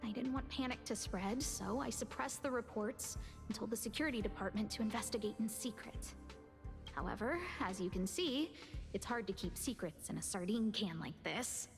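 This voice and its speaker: A woman's voice: mid-range voice, moderate pace, natural voice. An actress playing a composed, concerned young woman in charge of a space station, polite and articulate, in a game cutscene. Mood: grave, concerned. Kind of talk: scripted game cutscene acting. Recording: long reverb, music under the voice.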